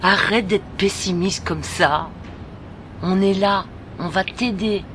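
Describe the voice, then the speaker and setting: A woman's voice: medium pitch, slow, a bit bright, a little hiss or hum. A woman playing a frank, bossy but caring teenage best friend in an audio drama. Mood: encouraging. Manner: frank, scolding, familiar. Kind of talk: amateur audio drama acting.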